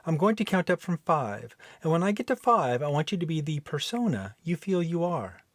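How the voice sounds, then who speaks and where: A man voicing a gentle woman hypnotist with a soft, kind voice. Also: fairly high pitch, very animated, moderate pace, no room sound, studio quality, voice pushed up. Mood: coaxing.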